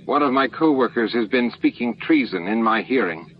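A man's voice: medium pitch, animated, normal speed, close to an everyday voice. A man voicing a deferential young alien worker in an audiobook, giving formal statements. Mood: dutiful testimony. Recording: dull, noisy lo-fi book-on-tape recording.

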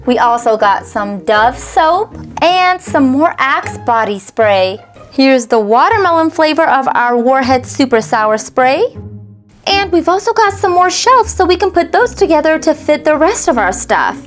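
Female toy-channel host, cheerful sing-song delivery, high and lively, unhurried. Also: soft background music. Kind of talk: voice-over toy unboxing narration.

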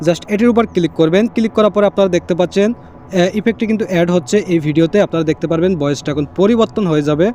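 A high-voiced man talks quickly through a phone app tutorial. His tone is on the mellow side, and he explains each step casually, repeating it and mixing in English catchwords. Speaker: tech tutorial host. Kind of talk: casual screen-recorded how-to narration.

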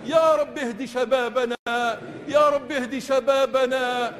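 A man's voice rings out in a long, cavernous reverb, pitched extremely high. He is an imam, leading prayer in chanted oratory. He pleads with passion, repeating his phrases. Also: steady tone, a little slow, fairly bright tone, slight background noise. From Tunisia. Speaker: imam leading congregational supplication. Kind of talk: Islamic supplication prayer in classical Arabic, chanted oratory. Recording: long echoing reverb of large space.

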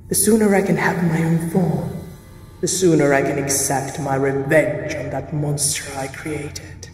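A woman's voice: low-pitched, darkish tone, a little hiss or hum. A woman playing the vengeful, imperious ghost of a witch queen in an audio drama, speaking with menace and command. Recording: distant, echoing reverberant hall effect.

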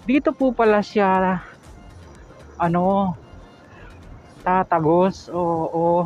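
A man's voice: high voice, very slow, a little mellow, muffled recording, faint music behind. A man with a Filipino accent vlogging outdoors while traveling a road, talking chattily and politely, with many fillers and tag questions. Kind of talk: casual road vlog narration.

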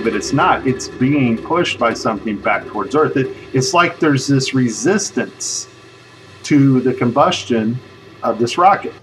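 A man giving casual, speculative commentary over footage in a conversational way, with a slightly husky voice. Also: mid-range voice, recorded from a distance, slight background noise, poor audio. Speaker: video narrator.

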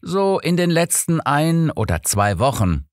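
Man playing a composed old detective, gently probing. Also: a little high, sing-song, measured pace, no echo, clean studio sound, pitched above the performer's own voice. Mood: gentle, probing. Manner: soft, probing, polite.

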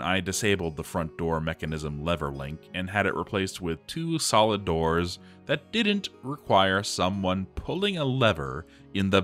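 A man's voice: low-pitched, bright, forceful tone, exaggerated voice. A man voicing a gloomy, scornful dwarf settlement leader, reading his journal aloud in a theatrical, emphatic way. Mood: scornful, exasperated. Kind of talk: dramatic journal narration over a game. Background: music.

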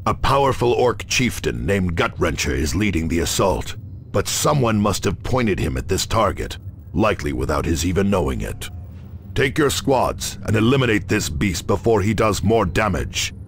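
An actor playing a grave space marine officer, giving a formal, measured and authoritative military briefing. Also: male voice, deep voice, very animated, a little hiss or hum. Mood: grave, commanding. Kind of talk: scripted military briefing narration.

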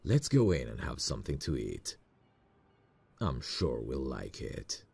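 A man acting a smitten, nervous boss in a romantic ASMR roleplay, speaking intimately and a little hesitantly with a gravelly, husky voice. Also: rumbling low, big pitch swings, unhurried, a little mellow, full character voice. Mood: warm, eager. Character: smitten, nervous male boss on a work trip.

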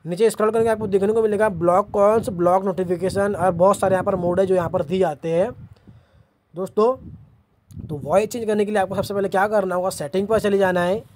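A man's voice: sits high, fairly even intonation, quick pace, darkish tone, slight background noise. A man with an Indian accent narrating a how-to tutorial as the host, explaining steps to viewers. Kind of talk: screen-recorded how-to narration.

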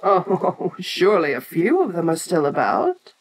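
A woman playing an ancient, domineering giant kaiju in an audio roleplay, haughty, deliberate and curious, talking down to a lesser creature. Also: lowish voice, huge pitch swings, breathy edge, full character voice, low-quality recording.

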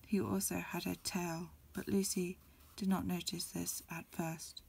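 A woman reading a storybook aloud as ASMR in a breathy, mostly whispered voice, a little hesitant, with small stumbles. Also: on the low side, little pitch movement, measured pace, darkish tone. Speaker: amateur reader. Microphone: close recording, lo-fi home setup.

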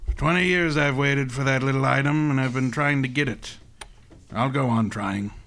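An actor playing a courtly, pompous criminal mastermind, genial but menacing, with florid formal speech in an old-time radio drama. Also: male voice, medium pitch, normal speed, fairly bright tone, natural voice. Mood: amused, resolute.

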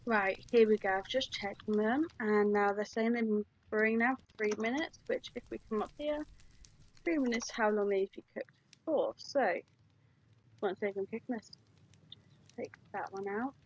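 A woman's voice: mid-range voice, moderate pace, breathy edge, muffled recording. A woman talking through a game tutorial step by step, casual and chatty, recorded at her computer. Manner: chatty, explains step by step with fillers.

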